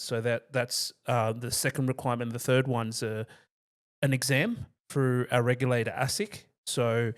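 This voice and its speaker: An Australian man, a financial planner guest, giving relaxed, down-to-earth interview answers with frequent restarts. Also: mid-range voice.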